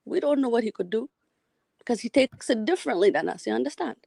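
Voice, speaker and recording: A woman's voice: a little high, expressive, fast, vocal fry, dark tone, dry recording, clean studio sound. A woman from Ethiopia with an Ethiopian accent, calling in and rambling through philosophical musings, often repeating words. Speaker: caller. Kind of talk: casual call-in chat.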